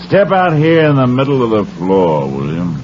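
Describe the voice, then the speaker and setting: An actor playing a smug, mockingly menacing saloon-owning gang boss in a 1940s radio Western, with a drawling delivery. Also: male voice, medium pitch, huge pitch swings, normal speed. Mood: mocking, confident. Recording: muffled, noisy 1940s radio broadcast.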